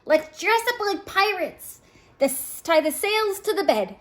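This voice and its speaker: A woman voicing an excitable, eager little girl from a storybook who loves to dress up. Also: extremely high pitch, measured pace, pitched above the performer's own voice, heavily acted. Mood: gleeful, excited. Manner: exclaiming, eager.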